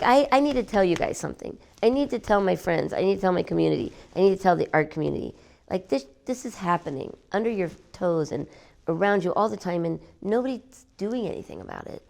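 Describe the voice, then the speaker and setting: A woman artist talking about her work in an interview, animated and emphatic, repeating words for effect. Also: fairly low pitch, gravelly. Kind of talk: gallery interview.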